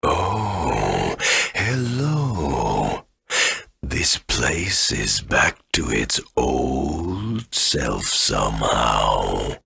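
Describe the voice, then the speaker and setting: An extremely low, husky ancient tree spirit, kindly and forgetful, for a video game. The delivery is slow and halting, swinging widely in pitch as he ponders. The sound is dry and close. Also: fairly bright tone, dry recording, heavily acted. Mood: grateful. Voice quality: deep gravelly, husky. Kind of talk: video game character acting.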